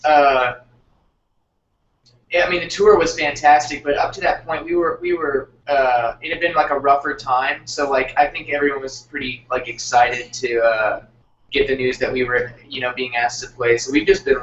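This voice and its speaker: A man's voice: medium pitch, fairly even intonation, moderate pace, fairly bright tone. Young guy from texas chatting in a band interview, lots of ums and likes, echoey and far off, bit of noise. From Texas, United States. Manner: hesitant, filler-heavy, searching phrasing. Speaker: band member being interviewed. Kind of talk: casual chat. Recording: roomy, distant, dull, noisy group recording.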